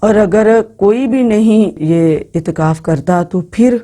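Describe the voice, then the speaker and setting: A woman giving informal Islamic religious instruction to women, speaking in an instructive way with halting phrasing and repeated words. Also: mid-range voice, warm tone. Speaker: religious teacher.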